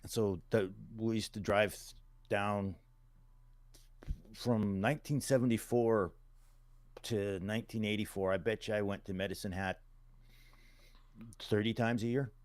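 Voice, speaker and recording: A middle-aged man from Calgary speaks in a low voice and tells stories easily as a guest. He is folksy and plain-spoken. The sound is dry and close. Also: steady tone, unhurried, pauses often, dry recording. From Calgary, Alberta, Canada. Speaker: guest, musician. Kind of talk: casual interview storytelling.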